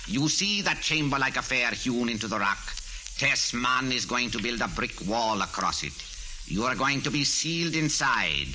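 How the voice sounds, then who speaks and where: An actor in 1940s radio style playing a megalomaniac cult villain, grandiose, pompous and coldly polite in formal, theatrical speech. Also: male voice, lowish voice, sharp tone, a little hiss or hum, exaggerated voice, poor audio. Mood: smug, menacing. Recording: vintage 1940s radio with organ music.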